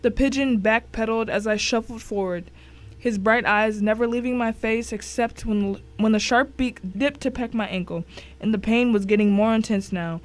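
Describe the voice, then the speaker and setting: A woman's voice: fairly high pitch, moderate pace. Woman narrating a suspense story. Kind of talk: dramatic first-person audiobook narration.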